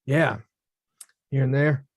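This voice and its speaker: A man's voice: mid-range voice, flat delivery, slow pace, no echo, studio quality, lightly acted. A man playing a laid-back, plainspoken boxer who collects debts, in a script table read. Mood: casual. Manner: blunt, plainspoken, understated.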